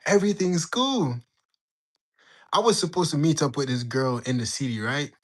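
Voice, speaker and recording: A young man in his late teens from Jamaica, telling a story in a slangy, animated way while bantering with his livestream chat. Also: fairly high pitch, moderate pace. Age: young adult. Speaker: livestream host. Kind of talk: casual storytelling to live chat.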